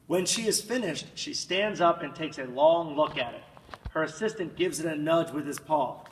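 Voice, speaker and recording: A man reading a children's picture book aloud in an expressive, dramatic manner, drawing out words and swelling with emphasis. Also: a little high, moderate pace. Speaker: storybook reader. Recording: echoey room, distant mic, faint noise.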